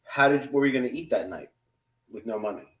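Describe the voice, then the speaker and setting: A man's voice: medium pitch, lively intonation, fast, reverberant, low-quality recording. A man co-hosting a casual live interview, asking plain, probing questions in short bursts. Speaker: interviewer, co-host.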